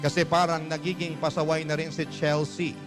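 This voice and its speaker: A male radio host reads a letter as dramatic storytelling. His voice sits a little high for a man, and music plays clearly underneath. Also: little pitch movement, moderate pace. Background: background music.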